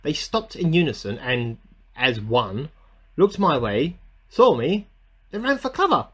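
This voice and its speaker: Earnest, animated amateur scientist, played by a man. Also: on the high side, unhurried, breathy edge, exaggerated voice. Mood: alarmed, amazed. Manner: earnest, self-important, musing aloud in dated log entries. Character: eccentric amateur scientist man recording his secret experiment diary. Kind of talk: scripted radio-play diary monologue. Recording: home-recorded amateur radio play.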